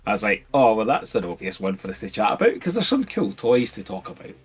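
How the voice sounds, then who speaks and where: A man's voice: lowish voice, big pitch swings, fast, husky. A Scottish man co-hosting a casual chat from home, jokey and rambling. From Scotland. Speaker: co-host.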